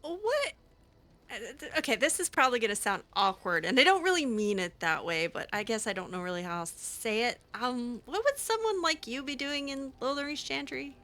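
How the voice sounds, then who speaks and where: A woman roleplaying her way through a game in a casual voice. She sounds fairly high and bright, with very animated pitch. Her phrasing is halting and awkward, as if improvised. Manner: halting, awkward, expressive, breaks into laughs and sighs. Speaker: roleplay gamer, series host.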